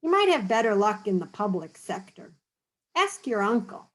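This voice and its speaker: A woman's voice: medium pitch, big pitch swings, moderate pace, close to an everyday voice. A woman voicing a practical businessman father, matter-of-fact and dismissive, in a storybook reading.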